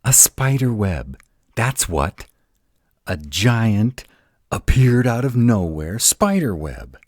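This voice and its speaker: A man playing a gruff, protective, uptight male were-dragon in an intimate roleplay audio drama, halting and dramatic. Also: on the low side, sing-song, darkish tone, no echo, studio quality, full character voice. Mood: agitated, incredulous. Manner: halting, dramatic, slow delivery with emphatic outbursts.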